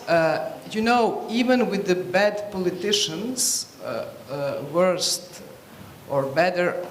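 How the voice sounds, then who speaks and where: A woman from Serbia asks a panel a question in a halting way, stopping often to search for words. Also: on the low side, echoey room, slight background noise. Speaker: audience member asking a question. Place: conference hall.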